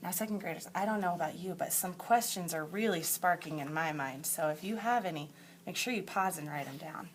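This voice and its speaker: A woman teacher reading a picture book aloud to young children in a deliberate, emphatic storytelling way, with asides to her pupils. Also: fairly low pitch, slight background noise. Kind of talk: expressive picture-book read-aloud for second graders.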